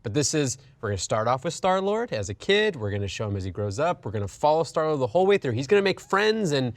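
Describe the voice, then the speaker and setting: A man's voice: mid-range voice, very animated, fast, no echo. A man talking as a panelist in an opinionated casual discussion, making his points emphatically in repeated lists. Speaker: talk show panelist.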